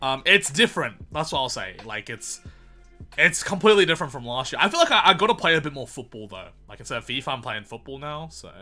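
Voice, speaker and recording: A young man hosting a gaming video in a casual, chatty way, his voice slightly croaky and strained, and he bursts into loud excited shouts. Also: mid-range voice, fast talker, faint music behind. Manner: chatty, calls viewers boys, erupts into loud excited shouts.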